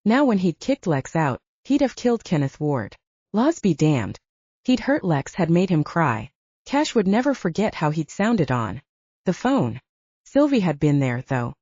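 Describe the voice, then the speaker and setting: A woman's voice: fairly low pitch, dark tone, husky, no echo, highs cut off. A woman reading a scripted story as an audiobook narrator.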